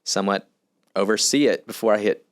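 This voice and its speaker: A man's voice: fairly low pitch, fairly quick, no room sound, studio quality. A man who works as a real estate agent, a podcast guest, explaining in an earnest, step-by-step way. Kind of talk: casual podcast conversation.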